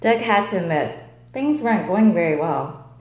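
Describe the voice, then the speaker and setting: A woman reading a children's story aloud in a deliberate, expressive way. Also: on the low side. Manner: deliberate, animated. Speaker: storybook reader. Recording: roomy indoor echo.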